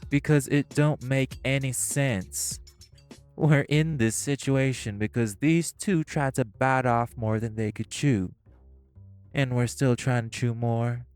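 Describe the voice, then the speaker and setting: Man voicing magic crime bosses, sneering, profane, confrontational, mid-pitched, audio roleplay, faint music. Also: slow, a little mellow, smooth, dry recording, natural voice. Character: hostile male crime bosses, magic gangsters.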